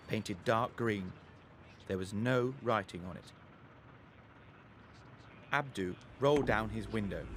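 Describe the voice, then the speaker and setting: A man narrating a scripted children's story as a storyteller, expressive and deliberate, with faint vehicle noise behind him. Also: fairly low pitch.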